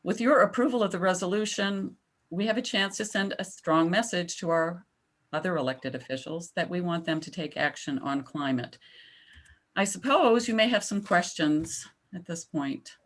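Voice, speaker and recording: A woman with a low, steady voice lays out a policy proposal to a local board. She explains patiently and slows down to stress her key points. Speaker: climate advocate presenting to a town board. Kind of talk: public comment at a town meeting.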